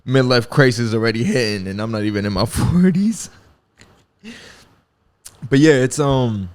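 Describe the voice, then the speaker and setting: A man's voice: mid-range voice, unhurried, a little mellow. A man in his twenties hosting a podcast, talking casually and reflectively with lots of fillers and swearing. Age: 20s. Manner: rambling, reflective, swears freely, many fillers. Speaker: podcast host. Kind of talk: casual solo podcast talk.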